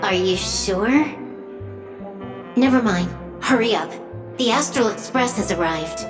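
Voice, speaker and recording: A woman's voice: a little high, expressive, measured pace. An actress voicing a cool, blunt young woman in a video game, terse and matter-of-fact but dryly caring. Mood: brisk. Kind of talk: video game drama dialogue. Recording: long hall reverb, music behind.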